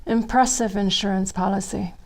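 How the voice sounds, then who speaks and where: A woman's voice: mid-range voice, fairly even intonation, moderate pace, darkish tone, lightly acted. A woman playing a shrewd, stoic wife in her thirties in a TV script table read, cool and dryly disapproving.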